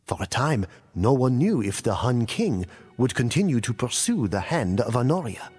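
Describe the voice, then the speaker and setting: A man playing a grave storyteller in a game cutscene, narrating ancient wars in a solemn, theatrical, deliberate way. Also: mid-range voice, very animated, a little mellow, soft background music, lightly acted. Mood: grave, dramatic. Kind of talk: dramatic scripted storytelling narration.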